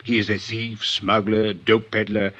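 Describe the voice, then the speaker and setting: An actor playing an urbane, sly French police inspector in a 1950s radio drama, suave and wry with ornate politeness and a French accent. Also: male voice, lowish voice, flat delivery, a little slow, fairly bright tone. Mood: matter-of-fact, wry. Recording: dull vintage radio recording, slightly muffled.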